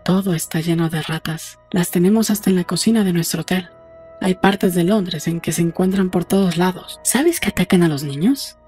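A woman playing a brisk, practical young woman in an audiobook drama, talking in a matter-of-fact, conversational way. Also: on the low side, mellow, husky, faint music behind. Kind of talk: audiobook dialogue acting.

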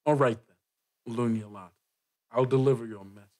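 A man plays a proud man of the church in a roleplay podcast. His voice sits at a medium pitch. He is guarded and haughty, choosing formal words with deliberate care. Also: a little mellow, lightly acted. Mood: cool, formal. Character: proud, guarded church dignitary, cold and imperious. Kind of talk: in-character tabletop roleplay.

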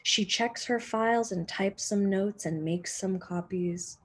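A young woman, a teacher reading a children's picture book aloud expressively, with deliberate dramatic pauses and emphasis. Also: mid-range voice, husky. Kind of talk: expressive children's storybook narration.

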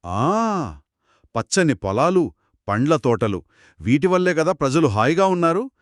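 A man co-narrating a children's moral story in an expressive, conversational way. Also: a little high, measured pace, no room sound, clean studio sound. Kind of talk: conversational two-person storytelling.

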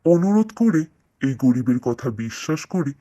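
A man's voice: medium pitch, sing-song, normal speed, dark tone, natural voice. An actor voicing men of a cartoon fairy tale, a hunter and a king, in a theatrical, declamatory manner. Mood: humble. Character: male fairy-tale characters, a humble hunter and an imperious king.

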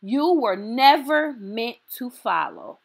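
Slow, lilting high-voiced woman reading aloud. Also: sing-song, gravelly, slightly rough. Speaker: storytime host reading aloud. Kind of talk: children's picture book read-aloud.

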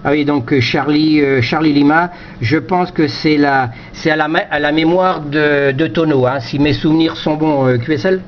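A man's voice: on the high side, fairly even intonation, moderate pace. A man chatting casually over amateur radio, hesitant and often repeating his words. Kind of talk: casual two-way radio chat. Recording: dull lo-fi audio with slight noise.

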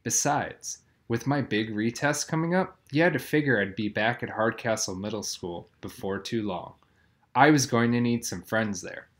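A man's voice: mid-range voice, normal speed. A man reading a children's novel aloud, telling the story expressively with plenty of emphasis. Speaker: audiobook reader.

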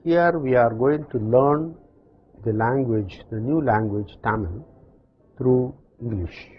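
An older man from South India with a South Indian accent, teaching in slow, deliberate steps in front of a chalkboard. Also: medium pitch, husky, dull audio. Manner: slow, deliberate explanations with pauses. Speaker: language teacher. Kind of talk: slow classroom instruction. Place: classroom with chalkboard.

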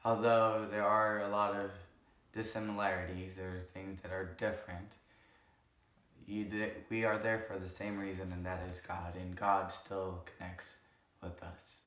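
Hoarse young man, deep voice. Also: flat delivery, normal speed, a bit bright, reverberant. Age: 20s. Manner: earnest, rambling with self-corrections. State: hoarse, lost his voice. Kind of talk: casual vlog recap. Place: living room couch, indoors.

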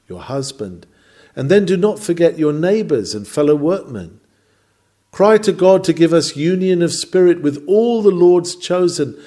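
A man's voice: a little high, warm tone. A man reading a scripted sermon in an earnest, exhorting, oratorical manner. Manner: earnest, exhorting, rhetorical delivery. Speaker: sermon reader.

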